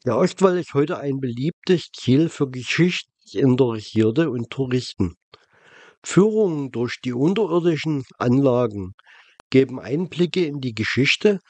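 A man reading a scripted, factual documentary narration in a measured way. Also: mid-range voice, darkish tone, no room sound. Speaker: narrator of a travel documentary vlog.

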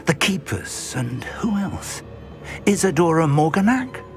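An actor playing an older wizard ally who reacts with indignation and plans next steps. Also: male voice, fairly high pitch, big pitch swings, unhurried, creaky, faint music behind, exaggerated voice. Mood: concerned.

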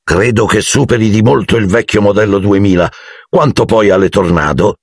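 A man's voice: lowish voice, normal speed, no room sound, clean studio sound. A man voicing an arrogant teenage team captain with an offhand, scornful tone. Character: arrogant teen sports captain, cool and scornful.

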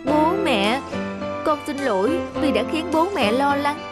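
High-voiced, earnest young woman. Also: lively intonation, measured pace, loud music behind the voice. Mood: apologetic. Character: tender, downcast young woman. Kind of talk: animated cartoon dubbing.

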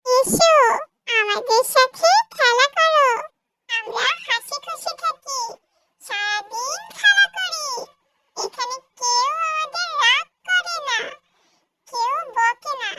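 Kind fairy girl, children's cartoon, squeaky high, bright, gentle, friendly. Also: lively intonation, slow, no echo, exaggerated voice, poor audio. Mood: inviting. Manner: gentle, reassuring.

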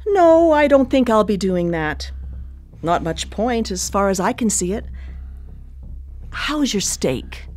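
An actress in a radio play playing a controlling, composed cannibal cook who dotes menacingly on family. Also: female voice, mid-range voice, animated, slow pace, long pauses, darkish tone, faint music behind. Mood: coy, menacing. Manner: composed, coy, domineering. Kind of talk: live radio play acting.

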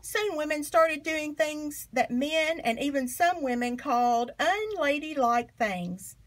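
A woman with a fairly high voice reads a children's picture book aloud. Her phrasing is expressive and emphatic, shaped for young listeners. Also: slow. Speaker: storybook reader.

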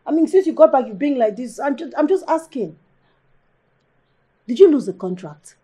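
An actress playing a caring, wounded, insistent wife in a film drama, with a Nigerian accent and a pleading, questioning manner. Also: female voice, high-pitched, very animated, normal speed, mellow. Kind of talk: naturalistic film drama acting.

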